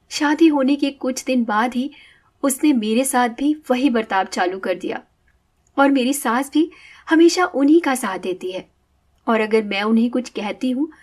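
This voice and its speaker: A woman playing a weak, mistreated elder sister in a moral story, talking in a soft, halting, sorrowful voice. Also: on the high side.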